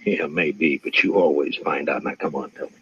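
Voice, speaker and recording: A muffled vintage radio western recording carries a stern frontier marshal. His voice is low and husky, and he talks in a dry, deliberate, world-weary way with few words to spare. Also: steady tone, fairly bright tone, heavily acted. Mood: dry, guarded. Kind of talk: 1950s radio western acting.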